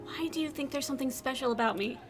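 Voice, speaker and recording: Woman as a high-voiced, defiant young survivor. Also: fast talker, over music, lightly acted. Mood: defiant, angry. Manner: sardonic, emotionally raw. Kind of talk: scripted audio drama acting with first-person narration.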